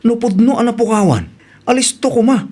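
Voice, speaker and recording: An older Ilocano family man speaks in a high-pitched voice. He is exasperated and suspicious, scolding and exclaiming in the manner of radio comedy drama. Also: animated, fairly quick, mellow.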